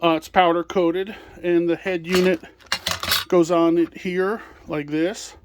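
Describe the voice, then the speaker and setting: Man, high voice, informal product demo, hands-on explainer, seller presenting his gear. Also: little pitch movement, slow pace, noisy background. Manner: hands-on explaining while handling items.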